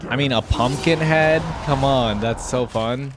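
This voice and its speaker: A man's voice: medium pitch, a little slow, background noise. A man giving casual, off-the-cuff reaction commentary as a video host, thinking aloud in an informal, exclamatory way.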